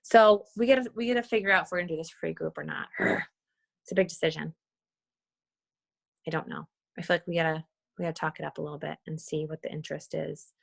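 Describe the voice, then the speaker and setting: A woman's voice: low-pitched, fairly even intonation, quick pace, creaky, no room sound. A woman leading an informal screen-share walkthrough on a team call, talking casually and thinking aloud as she demonstrates. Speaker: team leader giving a tutorial.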